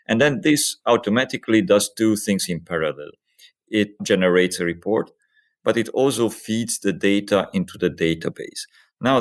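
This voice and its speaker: Male physician researcher, deep register, explaining his work as an interview guest.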